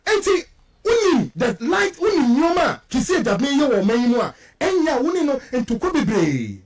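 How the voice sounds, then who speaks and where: A man's voice: very high voice, huge pitch swings, moderate pace. A man with a Ghanaian accent giving heated, indignant political commentary on radio, mixing languages and repeating words for emphasis. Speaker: radio show guest commentator.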